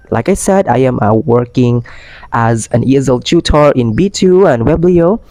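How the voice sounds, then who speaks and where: A young Filipino man chats to his channel in a hoarse voice, worn rough by a cold. His pitch sits in a middling range for a man, and he drifts between English and Tagalog with plenty of fillers. The sound is dry and close, with no room echo. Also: warm tone. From Philippines. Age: young adult. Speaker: vlogger and part-time ESL tutor. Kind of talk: casual vlog talk.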